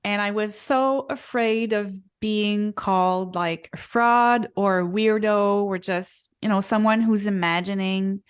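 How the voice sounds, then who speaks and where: A woman in her forties, co-hosting a spiritual podcast, telling her own story slowly and reflectively, with frequent ums. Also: medium pitch, steady tone, smooth. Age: 40s. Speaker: podcast co-host, Akashic reader. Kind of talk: casual podcast chat.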